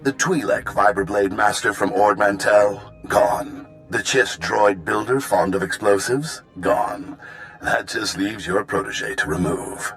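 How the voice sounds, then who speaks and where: A man's voice: fairly low pitch, unhurried, a bit bright, faint music behind. A man voicing a smug, gloating bounty hunter villain in a video game, theatrical and articulate.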